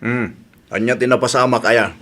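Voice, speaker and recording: A man's voice: medium pitch, fairly even intonation, moderate pace, sharp tone. A man playing a suspicious Ilocano Filipino husband in a radio drama, probing and grumbling as he talks.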